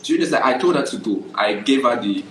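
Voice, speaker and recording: A man's voice: medium pitch, animated, quick pace, a bit bright, reverberant, slight background noise, low-quality recording. A young adult Nigerian man, a photographer guest, telling his story in a casual interview, often checking "do you understand?".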